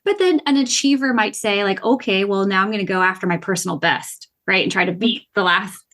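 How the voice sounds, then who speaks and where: A woman's voice: medium pitch, a little fast, a bit bright. Upbeat woman guest on a podcast. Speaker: podcast guest, gamification coach. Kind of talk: casual podcast conversation.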